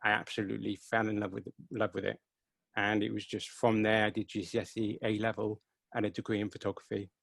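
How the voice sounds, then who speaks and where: Low, gravelly-voiced Welsh man in his thirties. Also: monotone, a little fast, a bit bright, dry recording. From Wales. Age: 30s. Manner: earnest, enthusiastic storytelling. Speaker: photographer. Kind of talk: casual interview answers. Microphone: headset.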